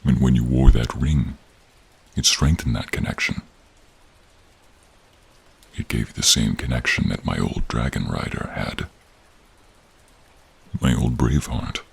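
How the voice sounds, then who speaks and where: A man playing a gentle, protective male dragon in human form, speaking tenderly and haltingly in a deep, gravelly, husky voice for an audio role-play. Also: full character voice. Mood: earnest, tender. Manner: tender, halting, hushed, drawn-out phrasing. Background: rain and cave ambience.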